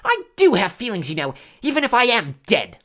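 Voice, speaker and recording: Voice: medium pitch, huge pitch swings, a little slow, vocal fry, pushed and bright, breathy edge, pitched above the performer's own voice, heavily acted. A narrator voicing a melodramatic, self-pitying ghost of a girl with a tearful wail, in an audiobook story. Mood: wailing, wounded. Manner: tearful, wailing, dramatic.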